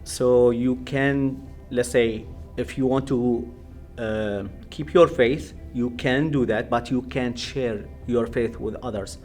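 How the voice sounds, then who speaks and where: Iraqi-accented man, halting, music behind. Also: mid-range voice, steady tone, a little mellow. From Iraq. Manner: halting, hesitant, searching for words. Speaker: pastor. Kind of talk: personal testimony in an interview.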